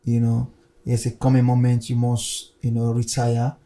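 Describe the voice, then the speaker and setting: A man of middling pitch discusses football as a show host. His tone is soft-edged and dark. He keeps his delivery flat and even while making his points off the cuff. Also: moderate pace. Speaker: sports talk show host.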